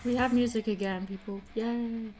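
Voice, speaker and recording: A woman's voice: fairly high pitch, fairly even intonation, moderate pace, darkish tone. A woman chatting casually and playfully as she hosts an art livestream, teasing as she goes. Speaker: livestream host, artist. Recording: guitar music behind the voice. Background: guitar music.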